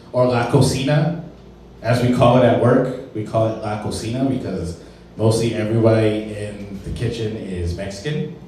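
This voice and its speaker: A young man in the middle of a stand-up set, recorded from some distance in a very echoey club. His voice sits at an ordinary middle pitch, and a faint murmur of the room runs underneath. He tells his stories with lively, exaggerated voices for the people in them. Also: slight background noise. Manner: animated storytelling with put-on voices for friends and coworkers. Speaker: stand-up comedian. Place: comedy club stage. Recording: roomy, distant mic in a club.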